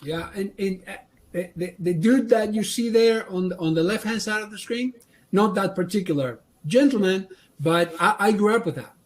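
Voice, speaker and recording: A man presents a manufacturing training session. His voice sits a little high for a man, and he often stumbles and restarts before finding his point. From Argentina. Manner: halting, many restarts and fillers.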